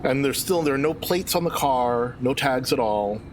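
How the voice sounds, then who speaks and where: A man's voice: mid-range voice, animated, moderate pace. A man playing a tabletop game, asking the game master probing follow-up questions. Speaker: player. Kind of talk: casual game talk. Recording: noisy recording.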